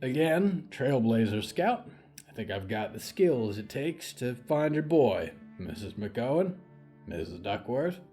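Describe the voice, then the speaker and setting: A man playing a calm, courteous old cowboy tracker in an audio drama, with a folksy, measured manner. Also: mid-range voice, faint music behind. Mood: resolute. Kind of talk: audio fiction story acting.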